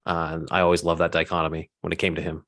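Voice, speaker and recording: A man's voice: deep voice, monotone, quick pace, a bit bright. A man speaking reflectively in a casual discussion, searching a little for his words. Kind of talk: casual group chat.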